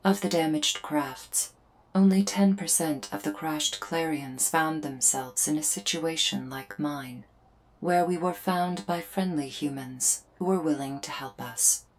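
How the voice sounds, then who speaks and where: A woman voicing a solemn female alien invader in a scripted ASMR roleplay, speaking in measured, formal, deliberate phrases with pauses. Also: low voice. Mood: grave, measured. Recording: music behind the voice. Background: music.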